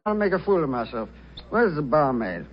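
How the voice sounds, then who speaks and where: A man's voice: on the high side, sing-song, normal speed, slight background noise. An actor playing a brash, boozy, grumbling English businessman, blunt and pushy, in 1940s radio acting style. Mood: sour, grumbling. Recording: muffled vintage 1940s radio broadcast.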